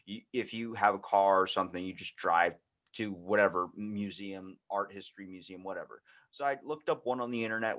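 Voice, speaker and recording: A young American man chatting casually, rambling through anecdotes and acting out the quoted bits as he goes. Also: low-pitched. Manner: rambling, animated retelling with acted-out quotes. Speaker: podcast host. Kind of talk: casual storytelling chat.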